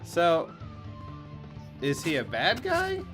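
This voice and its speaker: A woman's voice: fairly low pitch, huge pitch swings, takes it slowly. A woman co-hosting a casual chat, chipping in with short remarks and questions. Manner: brief interjections and questions. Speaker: podcast co-host. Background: music behind the voice.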